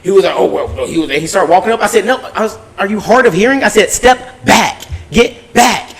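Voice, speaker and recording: A man telling a story in an animated, casual way, swearing freely in slangy speech and acting out both sides of arguments. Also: sits high, fairly quick. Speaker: podcast host recapping his weekend.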